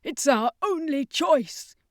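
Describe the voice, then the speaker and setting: A woman voicing an earnest male circus master in an adventure story, a heroic leader speaking urgently and gravely. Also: high-pitched, expressive, takes it slowly, dry recording, clean studio sound, pitched above the performer's own voice.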